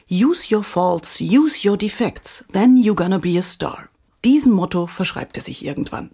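A woman podcast host giving scripted, dramatic obituary narration with wry, theatrical phrasing and emphatic pauses. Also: medium pitch, darkish tone, husky.

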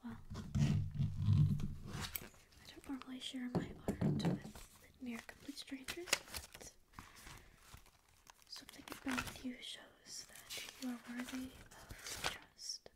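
A woman voicing a centuries-old sleep paralysis demon in a whispered ASMR roleplay, snarky and angsty at first, then softly reassuring, close to the mic. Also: sits high, level tone, takes it slowly, long pauses. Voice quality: breathy whisper. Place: dim indoor room with string lights. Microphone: close studio mic on camera.

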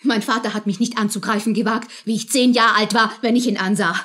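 An actress playing a soldier's sharp-tongued young lover in a radio play, retorting with defiance. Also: female voice, on the high side, fairly even intonation, fairly quick. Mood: defiant. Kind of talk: German radio play acting.

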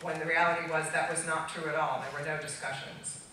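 In a very echoey hall, heard from a distance, a middle-aged woman with an American accent gives a conference talk. Her voice is bright and forceful. She speaks off the cuff in an emphatic, halting way, often restarting a phrase. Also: low-pitched, level tone. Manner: halting, emphatic, with repeated false starts. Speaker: conference keynote speaker, trademark attorney. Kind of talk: public talk to an audience, unscripted. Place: large dark auditorium stage, recorded from far off. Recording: echoey hall, distant microphone, faint noise.

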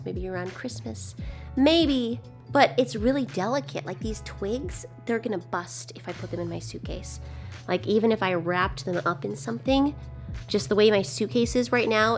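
Hoarse young woman vlogging excitedly. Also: mid-range voice. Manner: chatty and excitable. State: hoarse from shouting. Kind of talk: casual vlog to camera. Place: hotel room, then airport. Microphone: handheld camera at arm's length.

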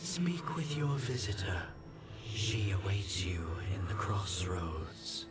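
A man's voice: low voice, very animated, takes it slowly, over loud music, heavily acted. A man playing a grave, stately otherworldly guide in a fantasy game, speaking formally. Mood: grave, cryptic. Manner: formal, ceremonious.

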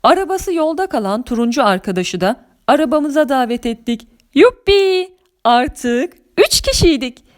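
A woman narrates a children's story in a fairly high voice. Her reading is scripted and expressive, in the style of a storyteller.

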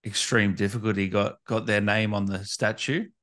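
A man's voice: fairly low pitch, steady tone, normal speed, a bit bright, dry recording, clean studio sound. A man hosting a casual interview, asking questions in an informal, chatty way with tag questions. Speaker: interviewer, podcast host.

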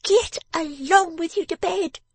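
A woman voicing a sharp-tongued, bossy, vengeful young woman in a storybook, with emphatic, snapping speech. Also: very high voice, sing-song, measured pace, no room sound, pitched above the performer's own voice, heavily acted. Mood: snapping, scolding. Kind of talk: dramatised storybook reading.

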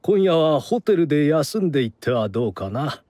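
An actor playing a courteous older gentleman host in an anime game, speaking in a polite, measured, mature manner. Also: on the high side, very animated, no room sound, clean studio sound. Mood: calm, thoughtful.

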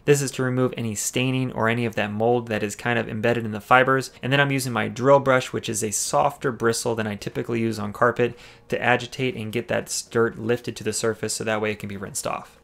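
A man's voice: mid-range voice, flat delivery, brisk, vocal fry, few pauses, a bit bright. A man narrating a car detailing video as voice-over, explaining each cleaning step and giving tips. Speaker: car detailing channel host.

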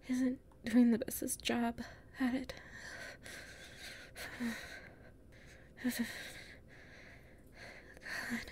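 A weary, anxious heroine of an audio roleplay, acted by a woman, mutters her way through a castle. Her voice is high, soft and breathy. She speaks slowly and haltingly, as if talking to herself. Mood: shivering, weary. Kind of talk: audio roleplay acting, solo monologue.

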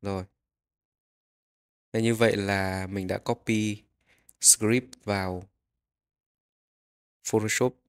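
A man narrating a step-by-step software tutorial, explaining each click as he goes. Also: fairly low pitch, steady tone, slow pace, long pauses.

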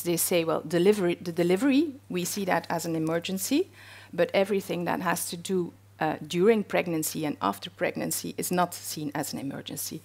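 A woman's voice: on the low side, creaky, a little mellow. Middle-aged woman speaking hesitantly on a panel. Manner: hesitant, many fillers. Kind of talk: panel discussion. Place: stage in a wood-panelled hall with audience.

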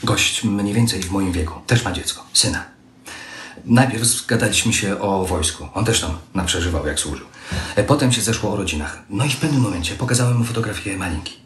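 A young actor plays an evasive young husband and train conductor, dodging questions with smooth excuses. His voice sits fairly low. It carries the echo of a roomy home room and a faint hiss. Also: a little fast, fairly bright tone, lightly acted. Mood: chatty. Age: young adult. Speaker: sleeping-car train conductor. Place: room at home, recorded on phone.

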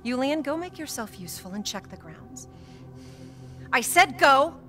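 Calm protective village mother, firm and steady, slightly high. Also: moderate pace, faint music behind, full character voice. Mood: reassuring. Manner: firm, reassuring, hushed at times. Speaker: game character.

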